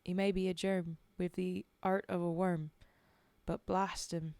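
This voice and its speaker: A woman voicing a rough male soldier stretcher-bearer with slangy, dropped-letter speech, half-whispered. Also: on the low side, little pitch movement, unhurried, darkish tone, no echo. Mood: urgent.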